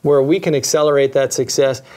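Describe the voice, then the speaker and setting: A man hosting a webinar, giving an upbeat, persuasive sales pitch. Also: fairly even intonation, brisk, a little mellow. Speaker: webinar host.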